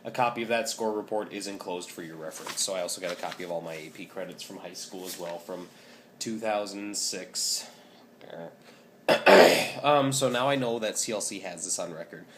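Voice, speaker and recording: A young man in his twenties with a scratchy, hoarse voice, talking casually in a rambling vlog update with many ums. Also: low voice, moderate pace. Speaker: vlogger.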